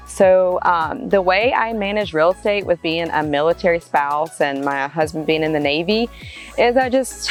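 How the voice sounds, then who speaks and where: Southern woman realtor, chatty and casual. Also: fairly low pitch. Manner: casual, friendly, many "um"s. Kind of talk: answering interview questions to camera.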